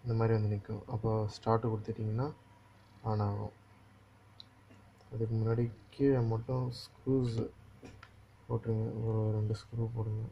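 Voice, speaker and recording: Guy walking thru a phone repair, pretty monotone, muffled cheap audio w a bit of noise. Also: fairly low pitch, normal speed, long pauses. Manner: instructional, often checks the listener follows. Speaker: phone repair technician giving a tutorial. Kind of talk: casual hands-on repair walkthrough. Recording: dull lo-fi audio with faint noise.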